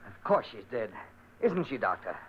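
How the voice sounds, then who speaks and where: An actor playing an imperious, morbid aristocrat in old-time radio horror style, with theatrical, curt commands. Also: male voice, mid-range voice, sing-song, normal speed, natural voice. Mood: domineering, irritated. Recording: muffled noisy radio recording, long hall reverb.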